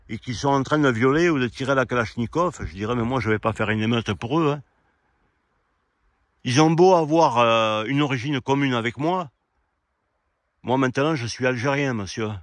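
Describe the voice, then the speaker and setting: A man delivers an off-the-cuff opinion monologue in a medium-pitched voice. He is indignant and argumentative, addressing the listener head-on and pausing to search for words. The sound is dry, with hardly any echo. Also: a bit bright. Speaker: amateur video commentator. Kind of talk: rambling opinion monologue to camera. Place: quiet spot outdoors.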